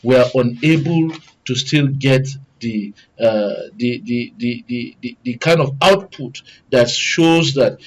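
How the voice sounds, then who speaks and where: A man's voice: medium pitch, slow, poor audio. A man with a Nigerian accent discussing public affairs as a panel guest, earnest and wordy, often repeating phrases as he builds his points.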